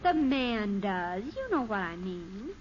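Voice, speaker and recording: A woman's voice: mid-range voice, very animated, slow pace. A woman playing an earnest, chattering American teenage girl who wheedles her father in a 1950s radio sitcom. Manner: breezy, earnest, gushing. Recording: dull, hissy old radio recording.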